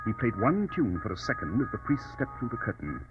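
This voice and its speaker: A man's voice: lowish voice, big pitch swings, a little fast, over loud music. A man playing a keen, commanding English detective, precise and imperious, in an old radio drama. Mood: intent, commanding. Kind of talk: 1940s radio drama acting. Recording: dull, noisy old radio recording.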